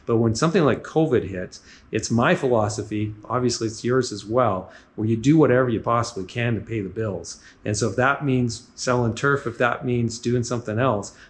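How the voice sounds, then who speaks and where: Man in his 40s hosting a business interview, talkative and upbeat, middle-pitched voice, in a large indoor gym. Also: moderate pace. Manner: upbeat, talkative, summarizing with frequent "right?". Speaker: podcast host. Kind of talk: casual interview on a walking tour.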